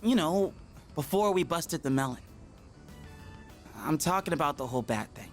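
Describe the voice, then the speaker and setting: A man's voice: a little high, normal speed, lightly acted. An actor voicing a laid-back, goofy, self-conscious teenage boy with an American accent and slangy delivery in a video game. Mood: sheepish, downbeat. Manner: slangy, casual, animated. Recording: music behind the voice. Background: game music.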